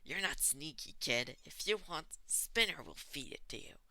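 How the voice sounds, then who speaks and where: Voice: medium pitch, lively intonation, measured pace, bright, forceful tone, no echo, heavily acted. A narrator voicing an irritable, sullen male villain gang leader who snaps and speaks sarcastically. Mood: stern, irritated. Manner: snappish, sarcastic, swearing.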